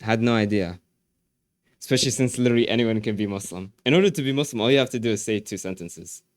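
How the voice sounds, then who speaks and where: Brief: male streamer, low voice, dry desk mic, easygoing chat replies. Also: fast talker, no room sound. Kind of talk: casual live-stream chat.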